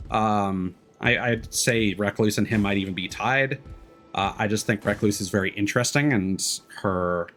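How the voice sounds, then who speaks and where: A man's voice: lowish voice, fairly bright tone. A man giving casual gameplay commentary as a streamer, thinking aloud in a hesitant way as he explains. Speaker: video game streamer.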